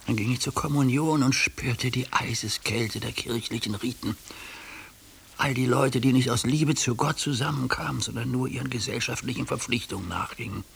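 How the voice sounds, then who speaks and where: An actor in a German radio play playing an anguished ageing judge who speaks for his neglected heart in a halting, hushed confession. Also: medium pitch, gravelly. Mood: bitter, sorrowful. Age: older. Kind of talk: German radio play acting, intimate monologue. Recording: hissy radio play recording.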